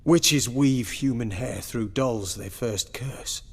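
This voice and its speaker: A man playing a grave, pious witch hunter in a video game, speaking formally in a gravelly, husky voice. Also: mid-range voice, slow. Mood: grave, explanatory. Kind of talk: dramatic video game acting.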